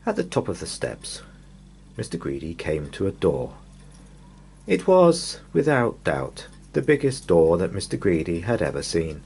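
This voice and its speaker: A man reading a children's bedtime story in an expressive, dramatic way, lingering on repeated words. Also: sits low, a little hiss or hum.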